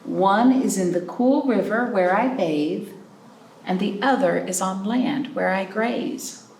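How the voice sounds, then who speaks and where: A woman hosting a library storytime, reading picture books and chatting to young children in an animated way with simple questions. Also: mid-range voice, takes it slowly. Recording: roomy, distant mic with background noise.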